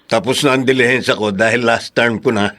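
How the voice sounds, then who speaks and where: A man's voice: medium pitch, steady tone, a little fast, sharp tone, natural voice. A man with a Filipino accent playing a scheming, hot-tempered politician in a radio drama, emphatic and theatrical. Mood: exasperated.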